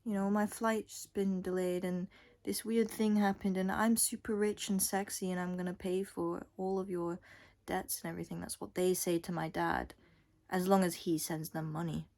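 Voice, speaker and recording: A woman's voice: lowish voice, little pitch movement, normal speed, a little mellow. A young adult woman vlogger giving a casual, unscripted monologue in a rambling, blunt way with casual swearing. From Scotland and Thailand.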